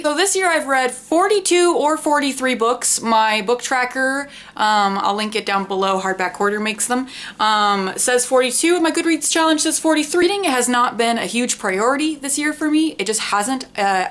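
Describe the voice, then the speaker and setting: A woman's voice: high-pitched, a bit bright. A woman chatting casually to her viewers as a book reviewer, informal and rambling, with self-deprecating asides and the odd swear word. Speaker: book review video creator. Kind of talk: casual chat to camera.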